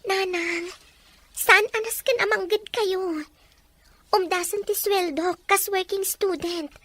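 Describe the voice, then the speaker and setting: A woman's voice: very high-pitched, fairly even intonation, takes it slowly, sharp tone, full character voice. A young woman playing an earnest, devoted daughter and working student in a melodrama. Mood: worried, pleading. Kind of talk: melodramatic drama acting.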